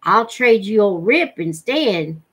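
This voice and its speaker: A woman voicing a mild backwoods hunter father telling tales in a folksy, unhurried way for a novel reading. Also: medium pitch, animated, slightly rough. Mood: mild, sly.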